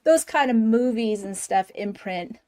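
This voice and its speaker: A woman's voice: a little high, expressive, measured pace, darkish tone. A woman hosting a podcast interview over a video call, enthusiastic and giggly, with lots of fillers. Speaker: podcast host. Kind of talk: casual interview.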